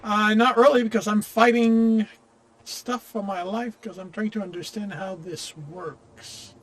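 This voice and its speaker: A man giving casual gameplay commentary, talking in a distracted, halting way. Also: sits high, fairly even intonation, a bit bright, a little hiss or hum. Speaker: gamer playing on a stream or recording. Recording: game music clearly behind voice.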